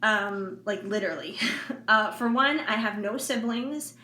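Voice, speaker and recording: A woman of forty talking candidly to her viewers in a casual, rambling way with many fillers and soft laughs. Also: medium pitch, unhurried. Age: 40s. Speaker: intuitive reader and vlogger. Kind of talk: casual heartfelt monologue to viewers. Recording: echoey room with faint background noise.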